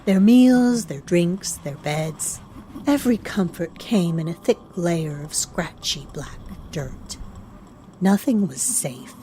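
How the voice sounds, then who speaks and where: Female narrator for horror audio drama: measured, mellow, ominous, over background ambience. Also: mid-range voice, slight background noise. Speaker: storyteller, narrator.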